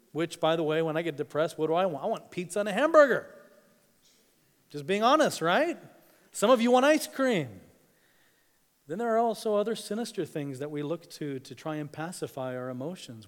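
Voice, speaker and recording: A man who grew up in East Africa, preaching a sermon, swinging between hushed and emphatic delivery with dramatic pauses. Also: fairly high pitch. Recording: long hall reverb.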